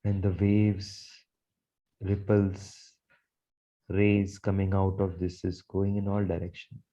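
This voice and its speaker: Male meditation guide: mellow, unhurried delivery, measured and soothing, repetitive phrasing. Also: low voice, completely flat delivery, lo-fi sound. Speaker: guided meditation leader, Reiki teacher.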